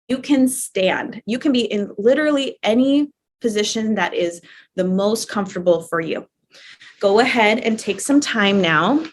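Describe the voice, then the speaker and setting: Female yoga nidra instructor, mid-range voice, gentle and permissive, conversational guided relaxation with soft repeated cues. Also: mid-range voice. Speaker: yoga nidra teacher guiding a live class.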